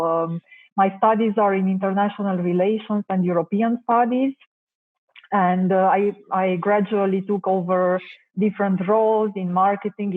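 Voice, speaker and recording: A woman from Romania, a native Romanian speaker, answering interview questions thoughtfully with many hesitations. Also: mid-range voice. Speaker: marketing director, guest. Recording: tinny phone-like call audio.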